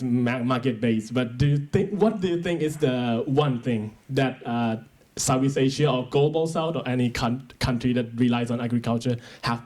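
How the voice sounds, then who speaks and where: A man's voice: mid-range voice, darkish tone. A man from Thailand, asking a question in an informal way and searching for his words.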